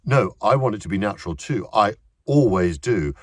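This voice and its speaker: A man voicing a self-important middle-aged male stage actor who talks in fussy, halting bursts. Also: on the low side, animated, dry recording, pitched above the performer's own voice.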